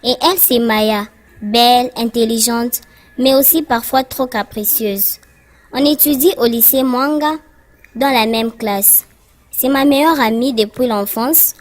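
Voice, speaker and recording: A woman's voice: on the high side, measured pace, close to an everyday voice. A woman voicing a cheerful schoolgirl who narrates a cartoon. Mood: friendly, explanatory. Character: Sonia, cheerful schoolgirl narrator introducing her friends.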